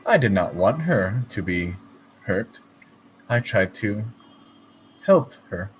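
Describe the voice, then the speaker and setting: A man playing a melancholy, polite android poet in a radio play, speaking in a stilted, formal, halting way. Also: lowish voice, sing-song, a little hiss or hum. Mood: wistful. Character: melancholy, polite male android poet, fast-food worker.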